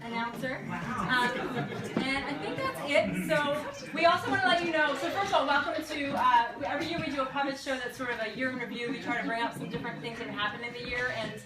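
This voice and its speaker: A woman's voice: sits high, fast. A chatty, giggly woman giving guests an informal welcome as host of an amateur puppet show. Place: indoors at a home holiday party. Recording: echoey, distant, loud crowd behind. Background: party crowd chatter.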